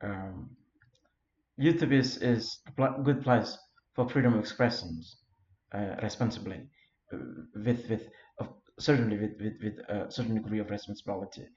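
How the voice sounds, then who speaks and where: A man from Flores in eastern Indonesia with an Indonesian accent, giving a simple self-made lecture in English, often pausing with fillers and repeated words. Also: lowish voice, darkish tone. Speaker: founder of an English learning community. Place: Europe. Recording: roomy, dull lo-fi recording.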